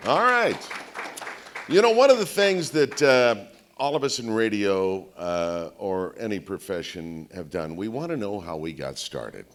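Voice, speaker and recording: A man's voice: lowish voice, expressive, measured pace, a faint crowd. A man hosting a casual live interview and putting questions to his guest.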